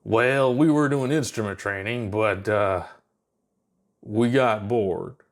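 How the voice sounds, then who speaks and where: Audio story role: seasoned, easygoing space flight instructor acted by a man, gruff, folksy, casual drawl. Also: on the low side, takes it slowly. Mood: sheepish.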